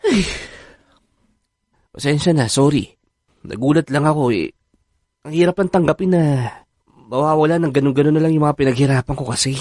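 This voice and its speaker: An actor with a Filipino accent playing a defensive, easily rattled husband in a radio drama, conversational and expressive. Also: male voice, a little high, brisk, pauses often, no room sound, clean studio sound, close to an everyday voice. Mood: startled, upset.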